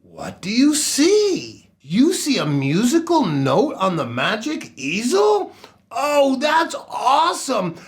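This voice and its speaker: A man hosting a children's storytime show in a playful, theatrical, exaggerated way, with sing-song exclamations and pauses. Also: very high-pitched, a bit bright. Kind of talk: playful children's show presenting with dad jokes.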